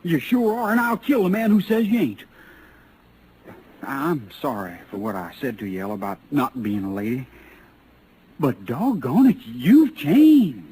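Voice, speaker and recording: An American actor playing an earnest, bumbling young cowboy with a folksy, stammering drawl in a 1950s radio western. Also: male voice, a little high, big pitch swings, unhurried, warm tone, rough recording. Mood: apologetic. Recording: old radio recording with faint noise.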